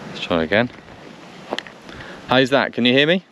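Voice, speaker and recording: A man talks in a casual, practical way, somewhere outdoors with noise behind him. His voice sits at a medium pitch. He thinks aloud, repeats phrases and breaks off to make short radio calls. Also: big pitch swings, moderate pace, fairly bright tone, lo-fi sound. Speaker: vlogger testing two-way radios. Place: outdoors on a country lane. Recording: noisy outdoor recording with vehicle sounds.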